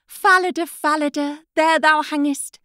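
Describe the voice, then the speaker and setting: A woman's voice: high-pitched, fairly even intonation, a little slow, sharp tone, dry recording, studio quality, pitched above the performer's own voice. A woman voicing a sorrowful young princess who works as a goose girl, chanting her rhymes in a lilting sing-song.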